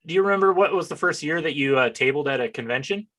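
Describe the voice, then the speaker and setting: An American man chatting casually as an interview host, with an animated, enthusiastic manner. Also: a little high, fast talker.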